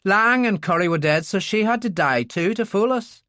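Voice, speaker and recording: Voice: medium pitch, expressive, moderate pace, fairly bright tone, dry recording, clean studio sound, voice pushed up, lightly acted. A narrator voicing a blunt, urgent male bodyguard in an audiobook thriller. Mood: insistent.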